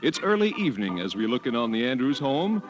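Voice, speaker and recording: A man's voice: medium pitch, sing-song, quick pace, over music, low-quality recording. A man with an American accent reading as a polished, emphatic announcer in 1940s radio style. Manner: polished, emphatic salesman delivery. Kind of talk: 1940s radio sponsor read and scripted narration.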